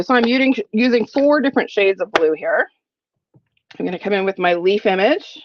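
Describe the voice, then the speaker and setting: A woman's voice: mid-range voice, highs cut off. Chatty sniffly woman crafting tutorial. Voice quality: a little sniffly. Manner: chatty, thinking aloud while working. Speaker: crafting demonstrator. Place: home craft room.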